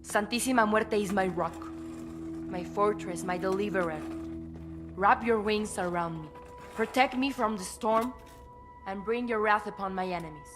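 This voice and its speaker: An actress playing a devout, defiant cartel cult priestess with a Latin American Spanish accent, solemn and fervent, in a video game. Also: female voice, mid-range voice, moderate pace, faint music behind, natural voice. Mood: fervent prayer. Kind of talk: dramatic video game cutscene acting.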